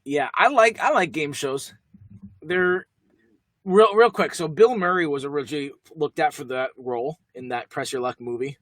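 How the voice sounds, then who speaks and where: A young man co-hosting a podcast, talking quickly in a rambling, jokey way. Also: on the high side, a bit bright, no room sound. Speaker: podcast co-host. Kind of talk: casual chat.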